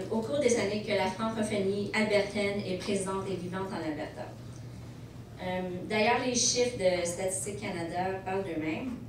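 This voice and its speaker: A woman from Montreal giving a prepared legal presentation at a conference, measured and pausing often to hesitate and restart. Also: mid-range voice. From Montreal, Quebec. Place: large banquet hall. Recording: echoey hall, distant mic, background noise.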